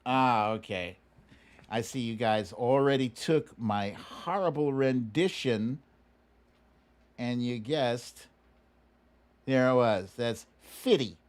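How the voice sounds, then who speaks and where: A man's voice: mid-range voice, slow pace, pauses often, no echo. A middle-aged American man hosting a casual livestream, informal and opinionated, often repeating words for emphasis. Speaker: livestream host.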